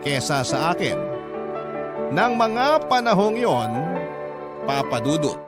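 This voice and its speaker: A man's voice: on the high side, big pitch swings, slow pace. A man playing a lovelorn boyfriend who narrates his own story reflectively, as a confession in a radio drama. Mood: wistful. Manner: earnest, reflective. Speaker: letter-sender narrating his love story. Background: loud music.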